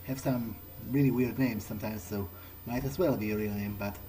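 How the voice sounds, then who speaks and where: A man's voice: fairly low pitch, steady tone, normal speed, lightly acted, low-quality recording. A man playing an awkward, dry vampire adventurer in a hesitant, rambling improvised commentary on a video game. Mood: curious, awkward. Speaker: let's player. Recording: loud game music behind voice. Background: video game soundtrack.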